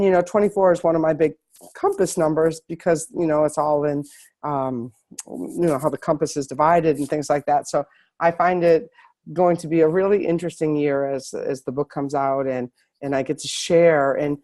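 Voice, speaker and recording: A woman hosting an online interview, hoarse from a cold, often restarting her sentences. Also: deep voice, warm tone. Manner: halting, with many restarts. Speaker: host.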